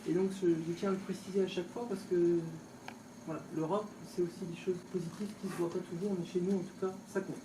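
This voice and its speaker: A woman local official gives an informal opening speech in a conversational, halting, off-the-cuff way, recorded indoors from a distance. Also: lowish voice, fairly even intonation. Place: indoors, tourist office exhibition hall. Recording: echoey room, distant mic, background noise.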